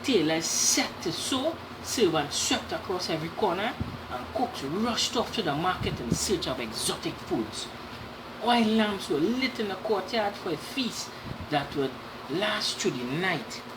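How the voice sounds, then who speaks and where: A woman reading a children's story aloud in an animated, dramatic way, with explanatory asides to young listeners. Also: on the low side, a little slow, background noise.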